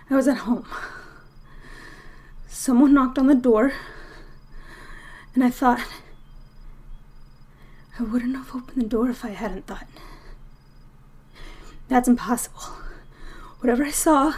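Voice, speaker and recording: A woman playing a frightened, shaken woman stranded alone, muttering to herself in a halting, hushed, breathy voice in an audio drama. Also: fairly high pitch.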